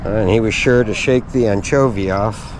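A middle-aged man casually narrating his fishing in a low-key way, as if thinking aloud. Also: normal speed. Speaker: angler narrating own fishing outing. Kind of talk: casual vlog commentary. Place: open fishing pier outdoors. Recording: outdoor camera with loud ambient noise.

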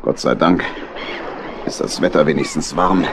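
Deep-voiced, sorrowful badger uncle. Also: male voice, fairly even intonation, noisy background. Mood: resigned, determined. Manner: sorrowful, sighing, drawn-out. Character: grown male badger uncle, remorseful, worried, good-hearted. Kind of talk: children's audio play acting.

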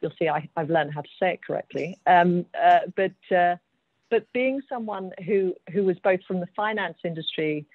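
A woman's voice: fairly low pitch, normal speed, dry recording. A woman with an English accent, a mining executive talking earnestly on a panel and often repeating words as she thinks. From United Kingdom. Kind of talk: panel discussion over video call. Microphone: video call. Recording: tinny, phone-like call audio.

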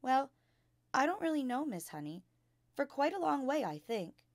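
A woman's voice: high voice, big pitch swings, normal speed, no echo, studio quality, voice pushed up. A woman voicing a tiny, serious five-year-old schoolgirl in a children's storybook, polite and matter-of-fact. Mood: unsure. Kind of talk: children's storybook read-aloud.

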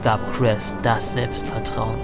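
A man's voice: fairly low pitch, flat delivery, takes it slowly, creaky. A man giving dramatic, scripted narration for an audio drama. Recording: loud music under the voice.

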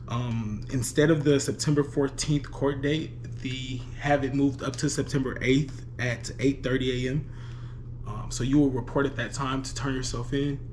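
Man, medium pitch, posing as officer on a phone call, formal, hesitant, lightly noisy recording. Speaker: prank caller.

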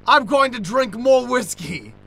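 An actor playing a drunk, scheming mentor in an improvised old-time radio play, grandiose and dramatic. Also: male voice, extremely high pitch, expressive, a little slow, vocal fry, heavily acted. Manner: grandiose, dramatic, swinging from murmurs to shouts. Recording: noticeable background noise.

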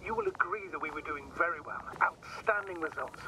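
A man's voice: on the high side, fairly quick, noisy background, natural voice. An actor playing an earnest, guilt-burdened male mission commander in a dramatic sci-fi video game. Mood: remorseful.

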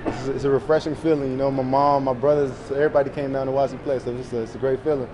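A young man, a college football player, answering reporters in a press room with short, low-key replies full of fillers. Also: little pitch movement, racing, background noise. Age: young adult. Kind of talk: post-game press conference answers. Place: press room in front of a sponsor backdrop. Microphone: table mics in front of him.

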